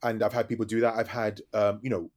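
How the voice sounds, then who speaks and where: Male DJ guest, rapid animated storytelling, slightly low voice. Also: crowd noise. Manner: fast-talking, animated storytelling. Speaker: DJ and radio host, podcast guest. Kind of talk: casual interview chat.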